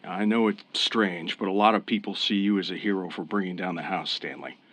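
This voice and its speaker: An actor in a radio drama playing a fair-minded, encouraging New York police officer. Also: male voice, deep voice, fairly even intonation, a little fast, a bit bright, a little hiss or hum.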